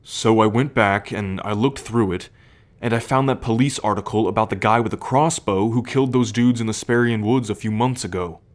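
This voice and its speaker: A young man playing a troubled, protective male werewolf in an ASMR roleplay, speaking in a measured, halting, confiding way. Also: fairly low pitch, close to an everyday voice. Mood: earnest.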